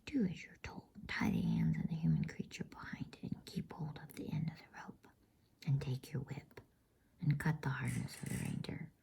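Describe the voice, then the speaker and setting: A woman's voice: a bass voice, huge pitch swings, normal speed, vocal fry, mellow, heavily acted. A woman voicing a cruel, imperious witch queen in a curt, commanding whisper for a storybook reading. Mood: scornful, commanding.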